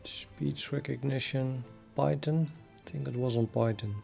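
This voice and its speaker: Brief: Dutch-accented male streamer, gravelly voice, halting and full of fillers, faint music underneath. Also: mid-range voice, steady tone, mellow. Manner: thinking aloud, halting with many fillers. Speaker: programming livestreamer. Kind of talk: casual live-stream chat.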